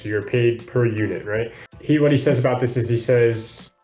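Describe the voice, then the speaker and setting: A man talks in a roomy, echoing space with soft music behind him. He is a podcast co-host who explains things casually, feeling his way through the phrasing at an ordinary male pitch. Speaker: freelance video editor. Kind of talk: casual book discussion, explaining. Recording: roomy echo, faint music underneath.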